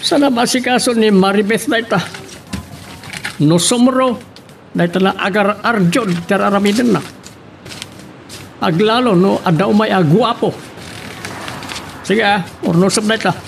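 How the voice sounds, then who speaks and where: An actor playing a bossy, scheming company boss in an Ilocano radio drama, with a gravelly voice and an Ilocano accent. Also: male voice, high voice, measured pace, long pauses, a little hiss or hum. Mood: commanding, casual.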